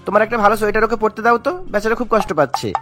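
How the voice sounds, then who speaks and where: A man voicing a young newlywed husband in a cartoon, hurried and placating as the family peacemaker. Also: sits high, steady tone, fairly bright tone, soft background music. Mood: urgent, pleading. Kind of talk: Bengali cartoon voice acting.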